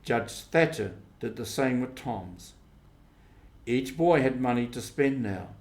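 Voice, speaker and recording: A man reading a storybook as scripted narration, in deliberate, separated phrases. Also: medium pitch, lively intonation, darkish tone. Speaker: audiobook reader. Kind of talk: scripted storybook narration, simplified classic novel.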